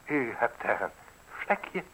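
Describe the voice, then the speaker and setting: A man's voice: mid-range voice, big pitch swings, slow, bright, forceful tone, a little hiss or hum, full character voice, poor audio. Probing, mocking newspaperman. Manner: insinuating, articulate, interrogating. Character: probing, mocking, ruthless male newspaper journalist needling an official. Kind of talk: radio play acting in Dutch.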